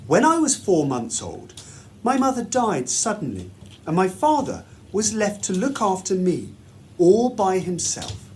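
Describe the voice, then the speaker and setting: A man reads a children's story aloud in a measured, deliberate, expressive way, like a headmaster at storytime. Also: high voice, slight background noise.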